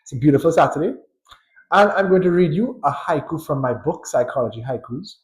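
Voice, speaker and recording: A man with a Trinidadian accent, a psychologist giving a thoughtful, unhurried educational talk with pauses and light chuckles. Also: a little high, lively intonation. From Trinidad and Tobago. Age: adult.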